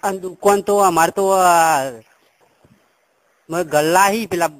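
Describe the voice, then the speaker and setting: A man's voice: sits high, fairly even intonation, takes it slowly, dry recording. A man with a rural Gujarati accent making a prank phone call as a customer, talking in a rustic, rambling, naively pushy way. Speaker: customer calling a helpline. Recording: noisy phone-call recording with clicks.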